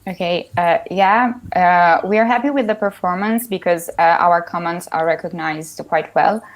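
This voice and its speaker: A woman's voice: on the low side, moderate pace, low-quality recording. A woman giving spontaneous answers as a team member in a live panel.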